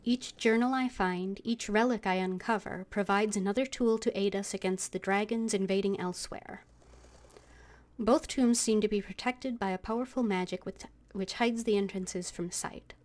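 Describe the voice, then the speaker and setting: A woman's voice: medium pitch, normal speed, a little mellow. A woman streaming a video game, reading game text aloud and voicing her thoughts as she plays. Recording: game music behind the voice. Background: video game music.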